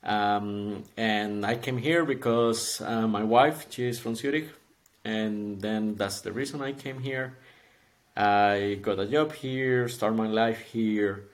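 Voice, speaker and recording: A man's voice: on the low side, slow. Costa Rican man, friendly and chatty. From Costa Rica. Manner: friendly, chatty, laughs often. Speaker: podcast guest, calisthenics athlete. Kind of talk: casual interview.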